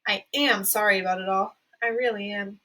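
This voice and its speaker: An eccentric male candy maker from a storybook reading, fussing and sighing over his failed inventions, as a woman reads him. He speaks quickly and fretfully, then turns apologetic. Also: medium pitch, steady tone, sharp tone, close to an everyday voice. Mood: fussy.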